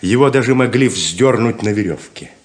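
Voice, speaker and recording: An actor playing a smooth-talking, self-assured rainmaker con man in a theatrical 1970s Soviet radio play. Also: mid-range voice, expressive, normal speed, very noisy, natural voice. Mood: grand, persuasive. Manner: theatrical, grandly persuasive.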